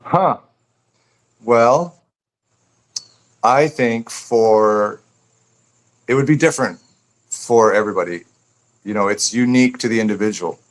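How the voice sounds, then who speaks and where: A man's voice: fairly low pitch, unhurried, long pauses, poor audio. A man speaking as a guest author in a casual live interview, reflective and rambling, repeating phrases as he thinks aloud.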